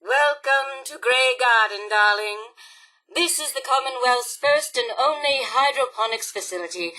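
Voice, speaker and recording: A woman's voice: high-pitched, measured pace, pushed and bright, full character voice, poor audio. A woman voicing a glamorous, haughty female robot supervisor in a video game, with a grand, affected theatrical drawl. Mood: proud, theatrical. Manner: grand, affected diva drawl, calls everyone darling.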